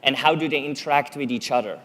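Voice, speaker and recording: A man's voice: mid-range voice, a bit bright. A middle-aged man from Switzerland, a native Swiss German speaker, giving a prepared public talk on a conference stage, telling stories and asking rhetorical questions. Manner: storytelling, measured, rhetorical questions.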